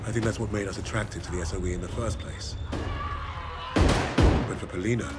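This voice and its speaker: An actor playing a grave Allied special forces team leader, narrating in a solemn, measured way for a game cinematic. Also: male voice, on the low side, heavy background noise, close to an everyday voice. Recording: loud music with artillery behind. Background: loud music, artillery fire, vehicles.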